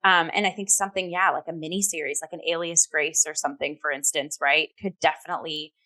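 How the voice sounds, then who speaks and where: A woman co-hosting a theatre talk, speaking casually and informally in a halting, loosely built conversational flow. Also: sits low, fairly even intonation, bright, forceful tone. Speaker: talk show co-host and theatre reviewer.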